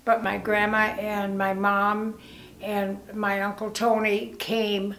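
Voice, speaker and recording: An elderly woman in her eighties, Italian her first language, talking slowly and thoughtfully about her past. Her voice sits mid-range and carries a roomy echo, as if the camera mic picks her up from across the living room. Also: mid-range voice, flat delivery, a bit bright, slight background noise. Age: 80s. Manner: slow, reflective, candid. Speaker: interviewee. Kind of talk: documentary interview reminiscence.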